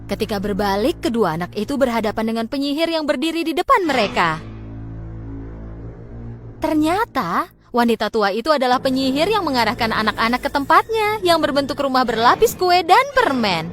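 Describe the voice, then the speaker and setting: Female storyteller for children's fairy tales: fairly high voice, very lively intonation, expressive scripted narration. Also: a little fast, faint music behind. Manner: expressive, dramatic storytelling delivery.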